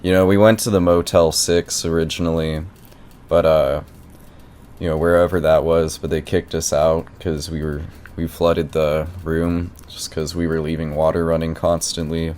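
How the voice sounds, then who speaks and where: A low-pitched male squatter tenant, played by a man, stubborn and clueless. He rambles and argues with naive insistence in an improvised prank phone conversation. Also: flat delivery, moderate pace, a little mellow, clean tone. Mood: rambling, aggrieved. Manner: rambling, argumentative, feigned naivety. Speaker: prank caller.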